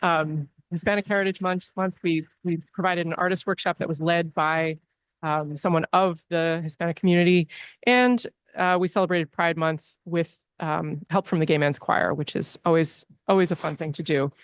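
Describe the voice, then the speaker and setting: A woman's voice: lowish voice, fairly even intonation, moderate pace, smooth, dry recording. Earnest woman giving a board report. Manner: frequent ums and restarts, earnest. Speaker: library director. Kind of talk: presenting a report at a board meeting. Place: public meeting room.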